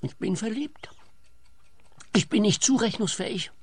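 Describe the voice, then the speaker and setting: An actor playing a lovesick, easily outraged suitor in a comic radio play, whiny and stammering with pedantic indignation. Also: male voice, high voice, unhurried, breathy edge. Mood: defiant, smug.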